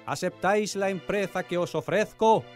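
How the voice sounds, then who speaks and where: A colonial Spanish viceroy played by a male actor in a radio drama. He speaks in a formal, ceremonious way with authority, in a slightly high voice over music. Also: normal speed, darkish tone, close to an everyday voice. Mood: formal, solemn. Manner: stately, ceremonious delivery.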